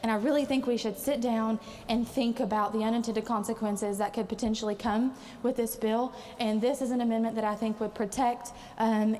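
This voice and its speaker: A woman's voice: on the high side, a little mellow. A woman legislator losing her voice, making an earnest, hesitant floor speech in a chamber. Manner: earnest, hesitant with many fillers. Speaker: state delegate. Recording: long hall reverb, faint ambience.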